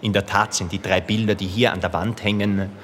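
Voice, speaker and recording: A man's voice: on the low side, steady tone, a little fast, fairly bright tone, slight background noise, natural voice. Man playing a patronising museum guide in an echoing hall. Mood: patronising, evasive. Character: mannered male museum guide, patronising and hesitant. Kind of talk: guided tour in a radio play. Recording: echoey gallery hall, background ambience.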